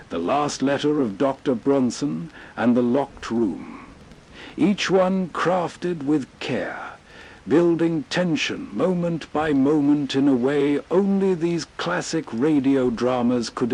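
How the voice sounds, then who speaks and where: A man's voice: mid-range voice, a little mellow, husky. A man hosting with soothing, reflective scripted narration in a late-night nostalgic style. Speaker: host.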